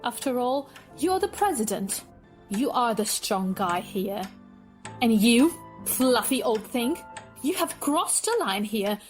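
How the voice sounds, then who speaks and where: A woman's voice: a little high, big pitch swings, slow, soft background music. A woman playing a scornful, cynical president's wife in an audio drama, haughty and mocking.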